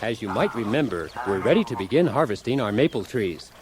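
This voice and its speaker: Man acting a chipper do-it-yourself husband, lively pitch. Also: brisk, lightly acted. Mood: chipper, instructive. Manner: cheerfully formal instructional delivery, calm amid disasters. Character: chipper, unflappable husband hosting a calamitous do-it-yourself show. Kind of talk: radio comedy sketch acting, parody how-to narration.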